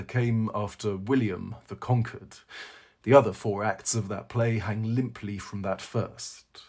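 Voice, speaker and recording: A man reading a brooding, ironic young intellectual from a novel, musing in clipped, declamatory phrases with a husky voice. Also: on the low side, darkish tone.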